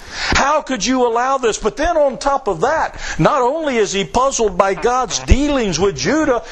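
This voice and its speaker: Male preacher, high voice, slow deliberate delivery, repeats key lines, reads scripture. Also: fairly bright tone. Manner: emphatic, repeats phrases for stress; reads scripture aloud in King James wording. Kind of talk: sermon.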